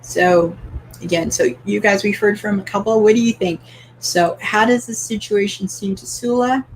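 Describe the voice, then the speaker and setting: A woman's voice: medium pitch, fairly even intonation, normal speed, a little hiss or hum, low-quality recording. A woman from Canada hosting a live-streamed book club, asking open questions and reading viewer comments aloud with light laughter.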